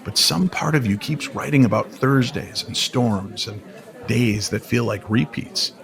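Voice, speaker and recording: A man playing a weary, enigmatic stranger in a radio drama, speaking in a calm, measured way. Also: mid-range voice, creaky. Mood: gently solemn. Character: weary, cryptic male stranger who knows hidden truths. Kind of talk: old-time radio drama acting. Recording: crowd chatter behind the voice.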